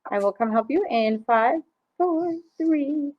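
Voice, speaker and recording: A young adult woman teaching an art class over a video call, brisk and teasing with her students. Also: high-pitched, expressive, dull audio. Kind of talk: online class instruction. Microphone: laptop mic on a video call.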